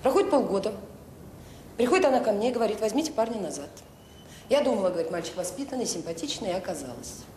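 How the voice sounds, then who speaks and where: An actress playing a devoted, earnest director of a children's home, speaking in short emphatic phrases in a naturalistic radio drama. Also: female voice, animated, fast, darkish tone, close to an everyday voice. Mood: earnest, persuasive. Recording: roomy sound with faint noise and rumble.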